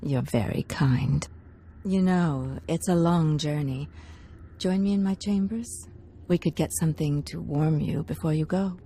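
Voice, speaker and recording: An actress playing an earnest, coaxing noblewoman, gentle in manner, in a video game. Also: low-pitched, a little slow, slight background noise. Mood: flirtatious. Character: earnest, seductive noblewoman, baron's discontented wife. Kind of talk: scripted video game acting.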